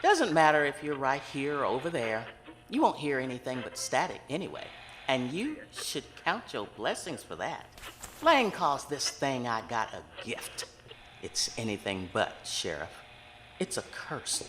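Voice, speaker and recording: A woman playing a world-weary, brooding psychic who hears the dead in an audio horror drama, speaking intensely and confidingly with dramatic pauses. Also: extremely low pitch, sing-song, big-room sound, heavily acted. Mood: firm, guarded, then grave.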